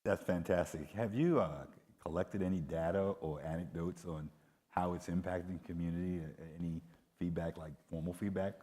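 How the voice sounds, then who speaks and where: A man's voice: low-pitched, steady tone, moderate pace, mellow. A man moderating a conference panel, talking conversationally with frequent fillers. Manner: conversational, fillers between phrases. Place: large convention hall. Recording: reverberant hall sound.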